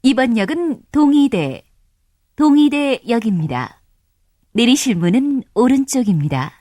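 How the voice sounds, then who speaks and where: Polite woman announcer for a transit system; bouncy, slightly high delivery, dry booth recording. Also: very animated, warm tone, no room sound. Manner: polite, formal, measured. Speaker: subway announcer.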